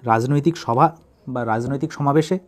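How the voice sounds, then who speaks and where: A man's voice: mid-range voice, moderate pace. A man teaching English writing, dictating in short separated phrases and then explaining them.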